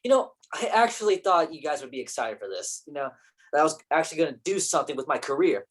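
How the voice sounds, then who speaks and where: A woman's voice: low-pitched, lively intonation, fast, breathy edge. A woman in a staged play reading, playing a defensive, ambitious male film actor with a casual, profane manner. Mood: defensive, angry.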